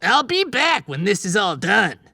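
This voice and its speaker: Audiobook elf sidekick: little wisecracker, high and creaky, skeptical, brash. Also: male voice, very animated, unhurried, pushed and bright, no echo, clean studio sound, full character voice. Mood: cocky. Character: cocky, wisecracking, skeptical small male elf.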